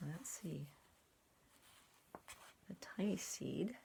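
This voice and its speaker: A woman reading a children's picture book aloud in an expressive, deliberate way for young listeners, with little asides. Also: on the low side, vocal fry, a little hiss or hum. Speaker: storybook reader and sign language teacher.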